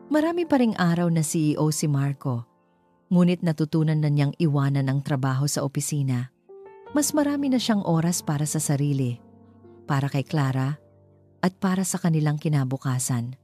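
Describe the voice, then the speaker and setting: Filipino-accented woman, low-pitched, scripted romance narration, storyteller, music behind. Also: expressive, moderate pace, dark tone.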